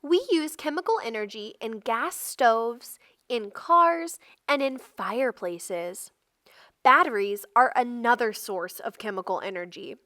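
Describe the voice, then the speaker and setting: A woman with a fairly high voice reads educational non-fiction aloud for children. She goes slowly and instructionally, in short separate phrases, and the recording is dry and close. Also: sharp tone, no room sound. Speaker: read-aloud narrator.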